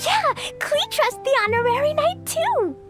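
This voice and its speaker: A woman voicing an excitable, innocent little girl in a video game, speaking in a childlike, earnest, exclamatory way. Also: very high voice, measured pace, music in the background, heavily acted. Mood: curious, eager. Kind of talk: animated game voice acting.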